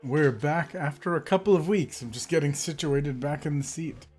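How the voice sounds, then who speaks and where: A man's voice: fairly high pitch, normal speed, darkish tone, husky, soft background music. Congested man chatting on a live stream. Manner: friendly, greets viewers by name, rambling with fillers. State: slightly congested from a cold. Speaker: calligraphy artist hosting a live stream. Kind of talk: casual live-stream chat.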